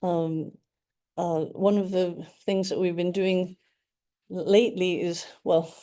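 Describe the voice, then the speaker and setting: A woman's voice: fairly low pitch, level tone, slow, warm tone. A middle-aged woman from Canada with a Canadian accent, a radiation oncologist giving an earnest, enthusiastic talk to a support group, with frequent ums.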